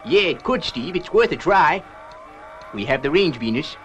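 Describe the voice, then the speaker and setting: An actor playing a fussy, excitable professor aboard a rocket ship, earnest and halting, in a science fiction series. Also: male voice, fairly high pitch. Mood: urgent. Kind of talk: 1960s children's science fiction puppet series acting. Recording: loud music and engine noise behind.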